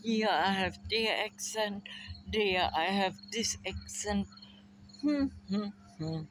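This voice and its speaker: An older woman with a German accent, musing slowly to a phone camera in her backyard about memory and family. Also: medium pitch, a little hiss or hum. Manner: slow, musing, drifting between thoughts. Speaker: vlogger. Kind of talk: rambling personal monologue to camera. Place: backyard outdoors by a fence. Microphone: phone camera at arm's length. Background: crickets and insects.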